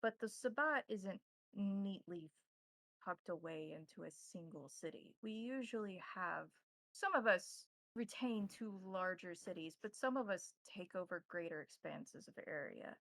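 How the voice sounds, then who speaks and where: A woman playing a courteous, cryptic vampire priest and advisor, speaking formally in measured, halting phrases in a tabletop roleplaying game. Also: on the low side, a bit bright, dry recording, rough recording. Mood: solemn, explaining.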